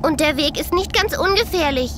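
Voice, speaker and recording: A woman's voice: sits high, lively intonation, moderate pace, background noise. A woman playing an innocent, friendly and mysterious little girl of about eleven in a German audio drama, answering simply and secretively. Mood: innocent, reassuring.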